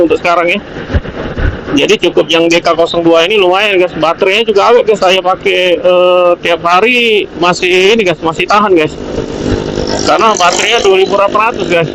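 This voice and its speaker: An Indonesian man motovlogging, casually rambling through a product review in an informal, hesitant, repetitive way as he thinks aloud. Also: high voice. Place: outdoors, riding a motorbike on the road. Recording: noisy outdoor traffic and vehicle noise.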